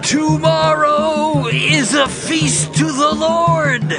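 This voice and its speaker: Bible drama priest, really high voice, slow proclamations, music behind him. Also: male voice, steady tone, exaggerated voice. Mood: proclaiming. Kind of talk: dramatized scripture reading.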